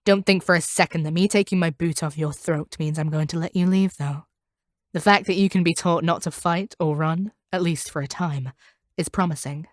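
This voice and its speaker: A proud female monster hunter, acted by a woman for a fantasy audio roleplay. Her voice sits on the low side, recorded close and dry. She talks down to her listener with stern, deliberate command and cold ruthlessness. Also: no echo, natural voice. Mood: cool, appraising. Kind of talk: scripted audio roleplay acting.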